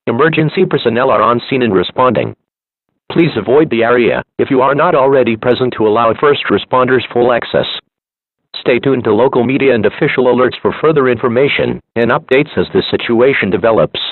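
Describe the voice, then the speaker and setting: A synthetic male announcer reading scripted emergency alerts and weather reports in a formal, impersonal, measured way. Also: mid-range voice, dry recording. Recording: dull lo-fi radio-style broadcast audio.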